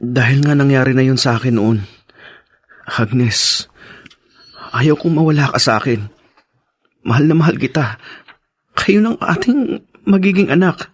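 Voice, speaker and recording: An actor playing an earnest, pleading husband in a Filipino radio drama, hesitant and tender. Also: male voice, mid-range voice, expressive, measured pace, long pauses, no echo. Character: earnest, pleading husband, devoted son of an ex-convict.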